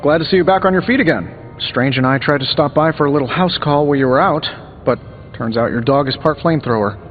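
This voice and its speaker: A man's voice: fairly high pitch, fast, close to an everyday voice. A sardonic American genius inventor hero, played by a male game actor, talks in a glib, conversational way. His voice is slightly muffled and rings with long reverb, with music underneath. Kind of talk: video game dialogue acting.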